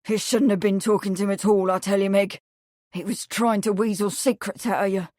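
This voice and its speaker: Woman doing a low, furious footman. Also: steady tone, brisk, darkish tone, no echo, studio quality, close to an everyday voice. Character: male footman, fierce and secretive.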